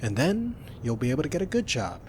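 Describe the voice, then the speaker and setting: A young man doing an ASMR roleplay as a roommate, talking softly in a teasing, reassuring way. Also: mid-range voice, big pitch swings, quick pace, a little hiss or hum.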